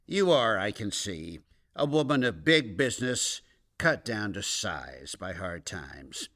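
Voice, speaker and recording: An actor playing a slick, long-winded pyramid-scheme recruiter in a comedic radio drama, with an officious, salesy manner. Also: male voice, big pitch swings, slow pace, fairly bright tone, exaggerated voice. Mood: salesy, persuasive. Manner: salesy, officious, hesitant filler-laden pitch. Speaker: company representative.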